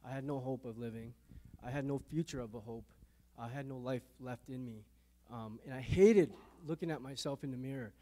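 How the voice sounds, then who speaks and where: A man in his thirties from Canada gives his faith testimony at a middle pitch. He speaks haltingly, often repeating words, and his voice drops softer when he recalls painful memories. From Canada, born in a Thai refugee camp to Lao parents. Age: 30s. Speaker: interviewee giving a testimony. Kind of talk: earnest faith testimony interview.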